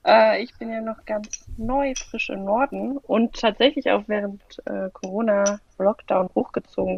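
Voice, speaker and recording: A woman from Germany's Lower Rhine, chatting casually as a podcast guest and laughing often. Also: creaky, darkish tone, breathy edge, no room sound. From Lower Rhine, western Germany. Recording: dull lo-fi sound.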